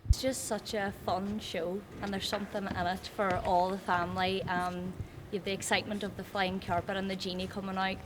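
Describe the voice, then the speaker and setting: A woman's voice: mid-range voice, little pitch movement, fairly quick. A young woman, an actress chatting casually in a promotional interview. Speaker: pantomime actress. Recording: clear background noise.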